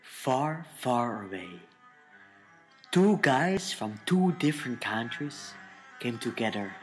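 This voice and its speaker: Slow-speaking male narrator over guitar. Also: mid-range voice, big pitch swings. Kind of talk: scripted fairytale introduction. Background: plucked guitar music.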